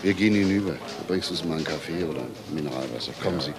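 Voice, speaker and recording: Low, brisk investigator. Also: male voice. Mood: businesslike. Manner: businesslike, calm. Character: brisk, matter-of-fact police investigator. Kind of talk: German crime radio play acting. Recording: noisy radio play recording.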